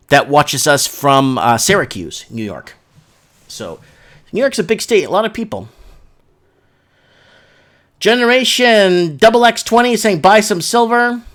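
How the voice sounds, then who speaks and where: Male livestream host, slightly high register, loose and slangy delivery, rambling casual talk. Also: a bit bright. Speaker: live show host. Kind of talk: casual livestream chat, reading viewer comments and news aloud.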